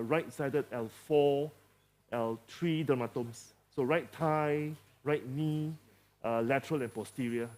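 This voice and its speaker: A man with a Malaysian English accent, a surgeon presenting a case in halting speech with many fillers and jokey asides. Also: mid-range voice, darkish tone. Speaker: surgeon leading a live demonstration.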